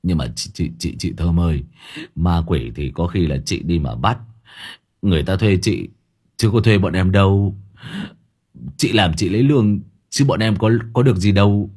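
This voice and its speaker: A man's voice: low-pitched, normal speed, darkish tone, slightly rough. A man doing a stammering, cowardly young guy. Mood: whining. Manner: stammering, whining.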